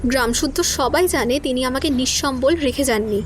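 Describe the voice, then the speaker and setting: An actress playing a young widow who is tired and halting at first, then firm and resentful. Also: female voice, high voice, slight background noise, close to an everyday voice. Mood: defiant. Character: young widow, meek but defiant when pushed. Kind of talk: Bengali audio drama acting.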